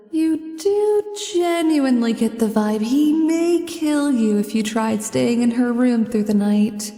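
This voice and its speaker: A woman's voice: a little high, dark tone, echoey room, big-room sound, recorded from a distance. A woman narrating a scripted fantasy story in the second person, as its storyteller. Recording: clear music behind the voice.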